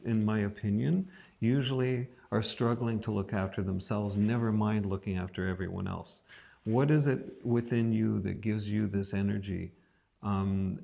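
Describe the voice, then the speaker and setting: A man interviewing an artist, thoughtful and encouraging, with many pauses and ums. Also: lowish voice, gravelly, mellow. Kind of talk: interview, conversational.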